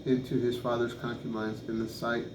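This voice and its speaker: Male Bible reader, even-toned and halting on names, recorded in an echoey room with noticeable background noise. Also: mid-range voice. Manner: halting, stumbles over names and restarts. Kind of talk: reading scripture aloud.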